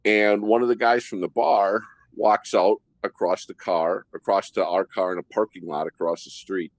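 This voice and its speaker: A man hosting a podcast, telling a detailed story about his undercover work in a steady way. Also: deep voice, normal speed, dry recording, rough recording. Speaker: host, former undercover officer.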